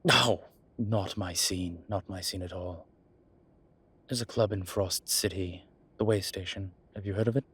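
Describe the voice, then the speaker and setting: A man playing a suave, wry incubus demon professor in an intimate audio roleplay, with a husky voice and hesitant pauses. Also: sits low, steady tone, a bit bright, full character voice. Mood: measured explaining.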